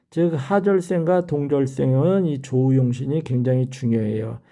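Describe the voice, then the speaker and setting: Older man lecturing in a very mellow voice. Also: fairly high pitch. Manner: didactic, repeats key terms for emphasis. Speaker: fortune-telling teacher. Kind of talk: explanatory lecture at a board.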